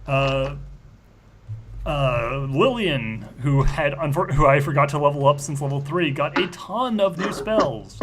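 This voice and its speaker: A man's voice: fairly high pitch, unhurried, a crowd in the background. A man hosting and playing a tabletop roleplaying game, chatting casually in a rambling, stammering, jokey way.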